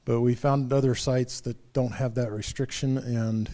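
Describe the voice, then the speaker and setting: A man's voice: mid-range voice, steady tone, measured pace, dark tone. A man leading an in-person club meeting, making casual, rambling announcements with fillers and restarts. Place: meeting room.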